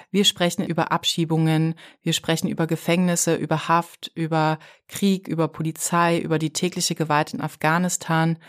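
A young adult woman hosting a podcast, reading a measured, carefully scripted introduction and asking interview questions. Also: deep voice. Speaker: podcast host.

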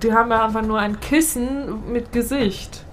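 A woman's voice: a little high, steady tone, normal speed. A young adult woman co-hosting a podcast in casual chat, chatty and full of fillers. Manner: chatty, many fillers like "also" and "ne". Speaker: podcast co-host. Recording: noisy recording.

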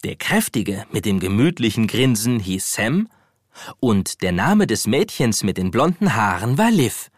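A man's voice: mid-range voice, no echo, clean studio sound. A man narrating a scripted children's story as the storyteller of a radio play. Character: storyteller of a children's toy adventure.

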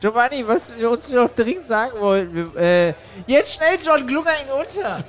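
A man's voice: extremely high pitch, expressive, normal speed, soft background music. A man performing theatrically in an improvised comedy audio play as part of a troupe.

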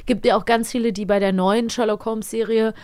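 A woman chatting casually as a podcast co-host, expressive and often correcting herself as she looks for the right word. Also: on the high side, brisk, clear, resonant tone. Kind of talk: casual chat about radio plays.

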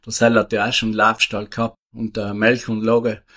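A man in his seventies with a South Tyrolean German accent, a retired farmer who answers interview questions in a rambling, halting way. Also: on the low side, monotone, a bit bright. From South Tyrol, Italy. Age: 70s. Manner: rambling, halting, with many restarts and fillers.

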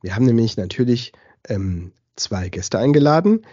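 Ein Mann, der als Gastgeber eines Podcasts locker und plaudernd durch ein Gespräch führt. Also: male voice, mid-range voice, animated, normal speed, warm tone, muffled recording. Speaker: podcast host. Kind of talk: casual talk moderation.

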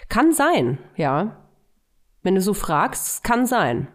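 Woman, podcast co-host, chatty and self-mocking, middle pitch. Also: big pitch swings, slow pace. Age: 30s. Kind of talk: casual podcast chat.

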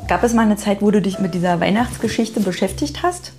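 A woman's voice: mid-range voice, expressive, fairly quick, music in the background. A woman in a casual, spontaneous chat, sharing her own habits and putting questions to her conversation partner.

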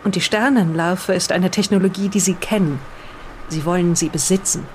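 Someone voicing an earnest, defensive island resident in an improvised radio play, speaking deliberately as if reading aloud. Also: medium pitch, fairly even intonation, a little mellow, slight background noise, close to an everyday voice. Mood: solemn reading.

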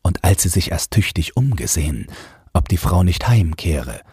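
A man's voice: very animated, normal speed, a little mellow, no room sound, studio quality. A man narrating a fairy tale from a script, as the storyteller of an audio drama. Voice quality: deep, very husky.

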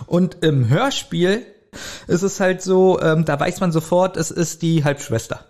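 A man's voice: fairly high pitch, a little slow, a little mellow. A man co-hosting a podcast, casually commenting and thinking aloud in loose phrases. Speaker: podcast co-host. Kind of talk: casual chat, commenting on an audio drama.

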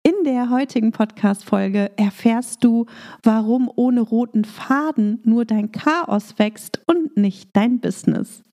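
Warm-voiced German woman podcast host. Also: on the high side, takes it slowly. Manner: upbeat coaching tone, with fillers in free talk. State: recovering from a cold, voice slightly rough. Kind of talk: scripted intro then casual monologue.

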